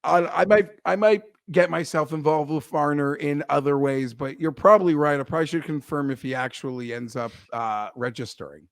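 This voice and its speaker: A hoarse man co-hosting a casual golf betting chat, rambling and leaning on filler words. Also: fairly high pitch, normal speed, few pauses, no room sound, clean studio sound. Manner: rambling, leans on "like" and restarts. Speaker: golf betting analyst co-host.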